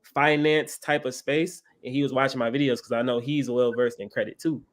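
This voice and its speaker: A man's voice: mid-range voice, fairly even intonation, fairly quick. A man in his twenties telling his story casually as an interview guest, often checking in with a tag question. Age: 20s. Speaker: guest, credit content creator.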